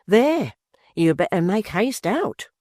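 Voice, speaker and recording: A reader voicing a stern, disapproving middle-aged wife in a storybook reading, speaking curtly and reproachfully. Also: male voice, high voice, very animated, unhurried, darkish tone, dry recording, studio quality, voice pushed up. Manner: curt, reproachful.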